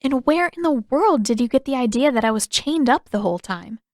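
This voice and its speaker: A woman's voice: high voice, lively intonation, brisk, a little mellow, no echo, clean studio sound, pitched above the performer's own voice. A woman voicing a caring but bossy teenage girl in an audiobook, emphatic and full of questions. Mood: indignant, insistent.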